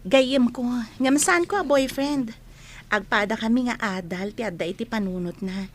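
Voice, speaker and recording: Woman acting a high-voiced, frank young student. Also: normal speed, lightly acted. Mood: frank, teasing. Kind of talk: Filipino radio drama acting.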